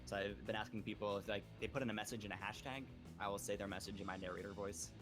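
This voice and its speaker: Low-voiced man chatting fast on stream. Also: steady tone, fairly bright tone. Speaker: stream co-host. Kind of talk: casual live stream chat. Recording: music audible in background.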